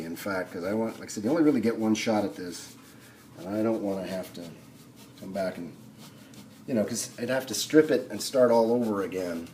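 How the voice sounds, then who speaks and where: Low-voiced man with some background noise. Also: darkish tone. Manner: unhurried, thinking aloud while working. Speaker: hobbyist demonstrating a restoration. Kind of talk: casual hands-on tutorial narration. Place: indoor home workshop. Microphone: camera on the workbench, speaker off-screen. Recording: noisy camera audio, background noise.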